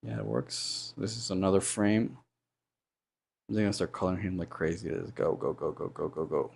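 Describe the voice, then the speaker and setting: A man with a low baritone voice and a sore throat, chatting casually and humming to himself while he animates on a live stream. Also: big pitch swings, normal speed, vocal fry, darkish tone, husky. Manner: rambling, thinks aloud, hums and mutters sound effects.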